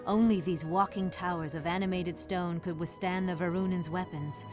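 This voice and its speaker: A woman's voice: lowish voice, steady tone, normal speed, music in the background. A woman narrating a fantasy game story in a solemn, dramatic, scripted storytelling style.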